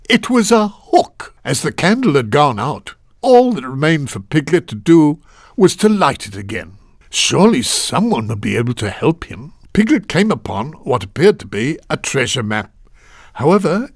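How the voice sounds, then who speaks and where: A man voicing a kindly, genteel storybook narrator in a children's game, speaking in a deliberate, expressive, old-fashioned way. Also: a little high, dry recording, clean studio sound, full character voice.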